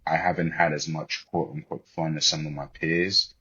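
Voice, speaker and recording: Extremely deep, husky British man, muffled audio. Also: level tone, moderate pace, bright, forceful tone. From born in Liberia, grew up in the UK. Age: 20s. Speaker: podcast guest, corporate banker. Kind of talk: reflective interview talk.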